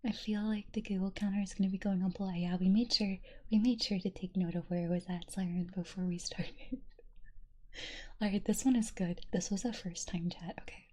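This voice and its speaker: Young woman streamer whispering, super breathy and mellow, giggles a lot reading chat. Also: mid-range voice, fairly even intonation, brisk. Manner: giggly, laughs often between quotes.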